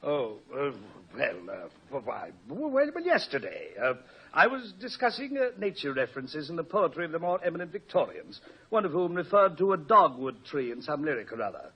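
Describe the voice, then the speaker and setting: A man's voice: a little high, very animated, moderate pace, breathy edge, exaggerated voice. An actor playing a vain, self-satisfied college professor in a 1950s radio comedy, pompous, hemming and fussy in his talk. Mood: flustered, self-pleased. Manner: pompous, hemming, chuckles at himself. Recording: muffled old radio recording.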